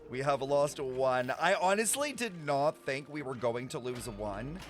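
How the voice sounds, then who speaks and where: A man's voice: medium pitch, animated, unhurried. A young man giving casual, slangy reaction commentary as a streamer, with game music behind him. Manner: slangy, informal, swearing.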